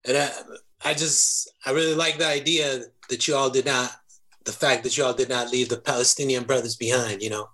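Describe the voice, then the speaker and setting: A man co-hosting who talks in an animated, friendly way, often asking for agreement. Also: mid-range voice, brisk, rough recording. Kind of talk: casual conversation.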